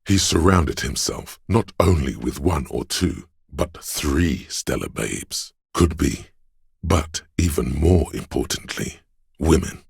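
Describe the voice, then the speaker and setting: An actor playing a smooth, sly inner voice of persuasion in a video game, narrating in a gravelly bass with a measured, insinuating delivery. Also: male voice, expressive, no echo, clean studio sound, full character voice. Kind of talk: scripted second-person game narration.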